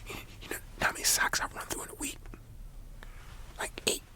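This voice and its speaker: A man playing a stern but caring high school principal in a whispered ASMR roleplay, scolding a student in informal, folksy language with a breathy voice. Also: brisk, pushed and bright. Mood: folksy, encouraging. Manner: informal, admonishing, folksy phrasing.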